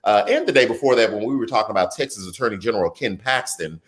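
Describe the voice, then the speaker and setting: A man in his thirties hosting a live political commentary stream, chatty and animated, reading viewer comments and riffing between stories. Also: fairly low pitch, fast talker. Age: 30s. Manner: animated and conversational, drifts into chatty asides and laughs easily. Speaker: political talk show host.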